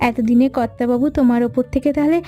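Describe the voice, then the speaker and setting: A woman's voice: on the high side, fairly even intonation, quick pace, dark tone, soft background music, close to an everyday voice. A woman voicing an anxious, caring wife from a poor village household in a cartoon folk tale. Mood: surprised, curious.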